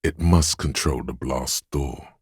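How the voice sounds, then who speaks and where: Very deep, husky, grave game narrator. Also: male voice, a little mellow, dry recording, clean studio sound, heavily acted. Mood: measured, matter-of-fact. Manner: measured, deliberate, literary, dramatic pauses. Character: grave, wry inner-voice narrator of a detective game. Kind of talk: scripted game narration, second person.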